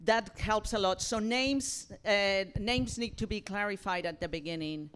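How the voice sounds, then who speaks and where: A woman leading an informal feedback discussion, encouraging and jokey, speaking in a halting way. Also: mid-range voice, fairly bright tone, smooth. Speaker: moderator of audience feedback session. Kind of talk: informal post-show discussion.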